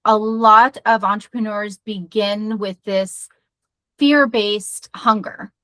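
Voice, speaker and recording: A woman's voice: mid-range voice, fairly even intonation, slow, fairly bright tone, dry recording. A woman therapist hosting a podcast, talking in an upbeat, chatty way over a video call. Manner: upbeat, chatty, laughs easily. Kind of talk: casual interview chat.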